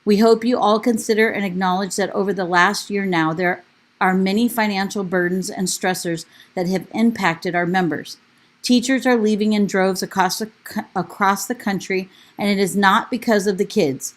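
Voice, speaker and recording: A woman teacher and union officer delivering a prepared public comment to a school board in an earnest, steady manner over a remote call. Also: medium pitch, moderate pace. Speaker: teacher and union vice president. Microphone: remote call audio.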